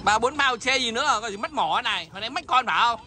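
Excitable high-voiced man fishing outdoors. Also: fast talker, faint music behind. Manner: excitable, loud exclamations, blunt swearing. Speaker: fishing vlogger. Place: on a boat on a lake outdoors.

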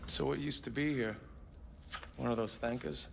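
A man's voice: medium pitch, fairly even intonation, moderate pace, creaky, in a large hall, a little hiss or hum. A man playing an impatient, plain-spoken hero in a video game, asking terse questions. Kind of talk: video game cutscene acting.

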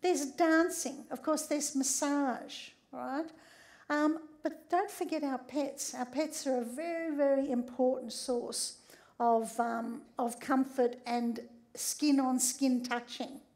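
Older woman, slightly croaky, high voice, lively stage lecture with frequent audience check-ins. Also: slow. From Australia. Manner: conversational, often tags lines with "right?". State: a bit croaky. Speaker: speaker giving a public talk. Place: large theatre stage.

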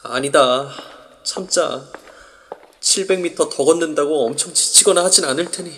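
High-voiced male narrator, irritated. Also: normal speed, a bit bright, slight background noise. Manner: irritated inner monologue. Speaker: narrator and lead. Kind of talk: scripted drama narration.

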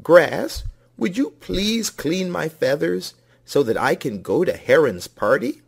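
A man's voice: fairly high pitch, big pitch swings, takes it slowly, vocal fry, slightly rough, voice pushed up, full character voice. A man voicing a vain, pleading storybook rooster who calls out and begs insistently.

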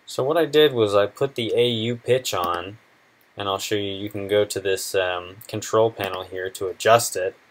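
Low-voiced young man, casual tutorial. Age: 20s. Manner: informal, unscripted, halting explanation. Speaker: video tutorial presenter. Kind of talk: casual screen-recorded software tutorial.

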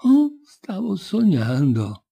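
A man's voice: sits high, huge pitch swings, slow pace, creaky, mellow, husky, pitched above the performer's own voice, full character voice. A man voicing an ogre's sly young wife in a folk tale, plaintively recounting pretended dreams. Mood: feigned drowsy.

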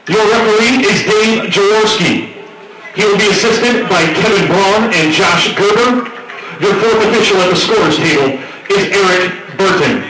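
An American man announces over a stadium public-address system in a formal, projected delivery. His voice is high for a man and reaches the listener from far off with very heavy echo. Loud crowd noise sits behind him. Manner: projected, drawn-out emphasis on names. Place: outdoor stadium at night, over loudspeakers. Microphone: PA microphone, picked up from far off.

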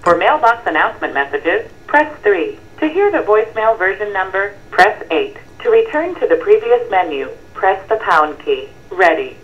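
A woman's voice: mid-range voice, very animated, moderate pace, hardly any pauses. A woman reading scripted voicemail menu prompts, heard through a telephone. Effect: played through a telephone. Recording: dull lo-fi telephone playback.

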